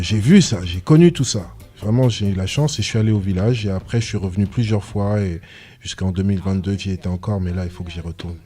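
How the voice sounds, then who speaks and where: A man's voice: lowish voice, dark tone. A man, a reggae artist, talking earnestly and passionately in a casual radio interview, rambling and restarting his sentences. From France, Congolese descent.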